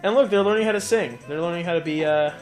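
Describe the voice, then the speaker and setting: Bantering man, higher-pitched, over game music. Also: fast talker. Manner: bantering, teasing, exclaiming. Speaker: host of a let's play. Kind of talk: casual joking commentary over game cutscenes. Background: video game music.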